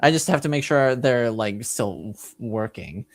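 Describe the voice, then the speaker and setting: A man's voice: mid-range voice, animated, unhurried, no room sound, studio quality. A man voicing a precocious, secretive young noble girl in a tabletop game, matter-of-fact and a little defensive.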